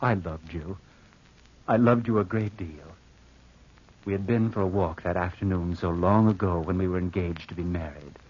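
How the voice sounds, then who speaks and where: A husky, brooding young heir. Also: male voice, deep voice. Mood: brooding, haunted. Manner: theatrical, halting, repeats phrases. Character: brooding, secretive young nephew, devoted heir narrating his past. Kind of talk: 1940s radio acting, confessional narration and dialogue. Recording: dull vintage radio drama recording.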